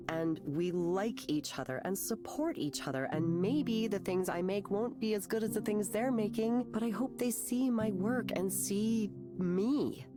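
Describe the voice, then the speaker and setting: A woman's voice: medium pitch, lightly acted. A woman playing a nervous, insecure young creative who rambles hesitantly in a visual novel game. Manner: hesitant, rambling, self-conscious. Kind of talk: naturalistic visual novel voice acting.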